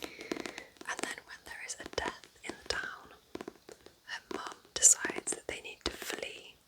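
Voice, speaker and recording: Softly whispering woman, gentle ASMR. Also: low-quality recording. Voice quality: soft whisper. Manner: gentle, unhurried, chatty. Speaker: ASMR host playing a kind witch recommending books. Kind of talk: ASMR whispering, roleplay book recommendations.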